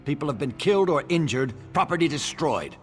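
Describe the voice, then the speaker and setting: A man's voice: on the high side, sing-song, a little fast, soft background music. An actor playing a stern, indignant baron defending his city in a fantasy video game, clipped and commanding.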